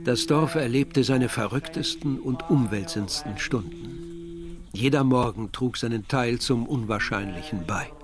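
An actor playing a reflective villager who narrates his memories in a measured way in a radio drama. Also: medium pitch, over music, close to an everyday voice.

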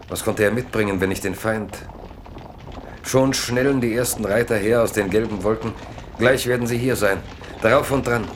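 A man's voice: fairly low pitch, slight background noise, natural voice. A man narrating a literary radio play from a script as its storyteller.

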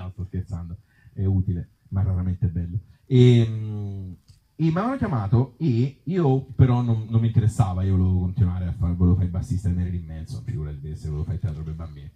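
A man with a Tuscan accent, an author and actor performing and chatting live in a wry, self-mocking way with hesitant asides. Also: low-pitched. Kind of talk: live reading of absurd comic pieces and casual talk with audience. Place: outdoor festival event. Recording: muffled, dull live recording.

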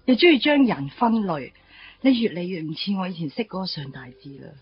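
A woman's voice: on the low side, very animated, brisk, lo-fi sound. An actress playing an outspoken, playful young woman in a Cantonese radio drama, chatty and chiding, with a Hong Kong accent. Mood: indignant, chiding.

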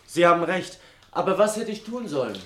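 An actor playing an earnest, stubborn young idealist in a radio drama, speaking insistently and solemnly. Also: male voice, high-pitched, animated, fairly quick, a bit bright. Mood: earnest confession. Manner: insistent, solemn, polite but direct. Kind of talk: German radio drama acting.

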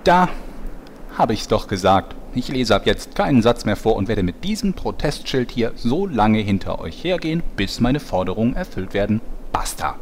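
A man's voice: lowish voice, very animated, moderate pace. A man playing a grumpy, stubborn narrator in a comic fantasy audio drama, sulky and mocking as he reads. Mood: defiant. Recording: faint background noise.

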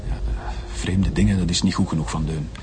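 An authoritative Flemish police director, played by an actor, with a lowish voice. He is skeptical and measured in a radio play, music clearly playing underneath. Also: fairly even intonation, darkish tone, close to an everyday voice. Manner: measured, demanding. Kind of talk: radio drama acting.

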